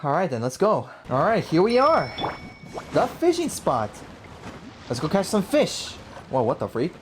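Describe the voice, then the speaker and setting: A man's voice: fairly high pitch, wildly swooping pitch, a little slow, noisy background. A young man giving casual let's play commentary as a gaming host, slangy and often saying bro. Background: game music.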